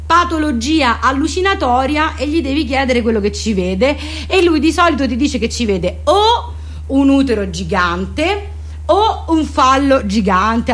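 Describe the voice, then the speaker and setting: Woman, kinda high voice, explains nonsense deadpan like a lesson, comedy monologue. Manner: mock-didactic, deadpan, colloquial. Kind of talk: comic monologue, mock explainer.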